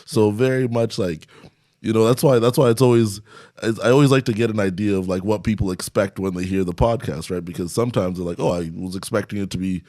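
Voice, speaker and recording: A man's voice: on the low side, quick pace, dark tone, dry recording, studio quality. A young man, talking casually as an interview guest and often chuckling as he speaks. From Canada. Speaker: stand-up comedian, interview guest.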